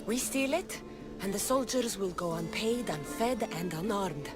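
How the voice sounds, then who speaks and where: Woman acting a dry, guarded warrior woman, expressive. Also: mid-range voice, a little slow, close to an everyday voice. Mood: firm, planning. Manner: dry, guarded, matter-of-fact. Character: tough, wry female Greek mercenary warrior. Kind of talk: video game voice acting. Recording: background music under the voice.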